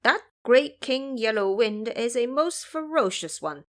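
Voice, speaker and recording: A woman's voice: fairly high pitch, expressive, unhurried, fairly bright tone. A woman voicing an elderly male farmer, a courteous and solemn host, in an audio drama. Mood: alarmed, solemn.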